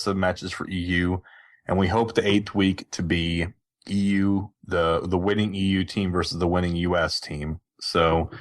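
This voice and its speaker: A man's voice: sits low, a bit bright. A man hosting a casual live gaming podcast in an informal, rambling way. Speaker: podcast host.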